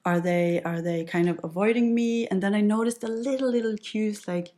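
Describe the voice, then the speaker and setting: A woman in her late thirties from the west coast of Norway, with a Norwegian accent, speaking English natively Norwegian, telling her story as a podcast guest in a relaxed, reflective way. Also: mid-range voice, moderate pace. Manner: reflective, easygoing, with light laughs. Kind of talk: casual conversational storytelling.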